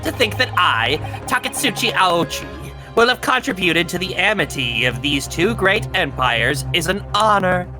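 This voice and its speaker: A man acts out a pompous video game prosecutor, smug and long-winded. His delivery is theatrical and swings widely in pitch, with music playing clearly behind it. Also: lively intonation, normal speed, few pauses. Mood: solemn pride. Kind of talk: live comedic fan dub of a video game.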